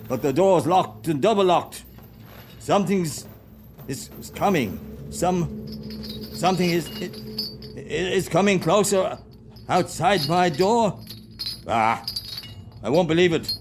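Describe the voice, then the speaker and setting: A man's voice: on the high side, expressive, slow pace, long pauses, music in the background. A man with an Irish accent playing a stubborn, easily frightened old miser in a radio play, stammering and blustering.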